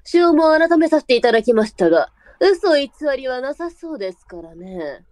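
A woman's voice: high-pitched, big pitch swings, moderate pace, a little mellow, no echo. A woman playing a composed, shrewd brothel madam in a Japanese period audio drama, polite and coolly businesslike.

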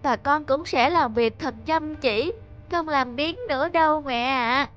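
A woman voicing a naive, eager little peasant boy in a children's cartoon, earnest and expressive. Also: high voice, unhurried, lo-fi sound, faint music behind. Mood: contrite.

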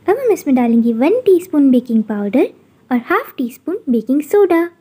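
Cooking host, woman, high mellow voice, calm step-by-step recipe voice-over. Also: normal speed. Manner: calm instructional narration.

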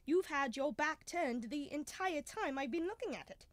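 A woman's voice: sits high, lively intonation, normal speed, dry recording. A young woman playing Doctor Watson, a genial, chatty male storyteller, in a radio drama. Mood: curious, eager. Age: young adult. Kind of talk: 1930s-style radio drama acting.

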